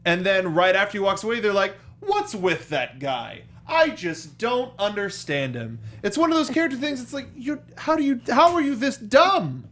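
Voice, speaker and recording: A man's voice: high-pitched, very animated, normal speed, few pauses, a bit bright. A man co-hosting a casual film review chat, acting out reactions in quoted asides. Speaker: co-host of a film review show.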